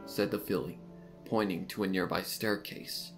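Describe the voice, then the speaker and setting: A man reading scripted third-person fan fiction as the narrator of a dark mystery story. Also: low-pitched, steady tone, moderate pace, creaky, faint music behind.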